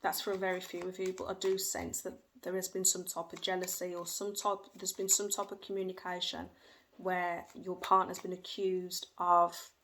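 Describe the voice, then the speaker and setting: A woman's voice: fairly low pitch, level tone, normal speed, a bit bright, poor audio. A woman giving a tarot reading at home, who hedges, repeats herself and goes by what she feels, with her children faintly heard in the background. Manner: hedging, repeats phrases, speaks by feeling. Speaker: tarot reader. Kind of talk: tarot card reading for viewers. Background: faint noise of her young children.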